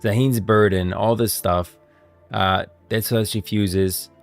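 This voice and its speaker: A man's voice: fairly low pitch, fairly even intonation, takes it slowly, gravelly, faint music behind. A man hosting a stream, giving emphatic, explanatory commentary on video game lore, part read and part off the cuff.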